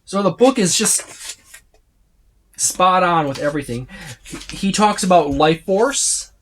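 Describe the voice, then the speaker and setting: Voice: mid-range voice, animated, takes it slowly, fairly bright tone, a little hiss or hum. Casual, rambling tabletop rulebook reviewer. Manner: rambling, repetitive, emphatic itemizing. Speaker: tabletop game book reviewer. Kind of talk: casual unscripted overview of a rulebook.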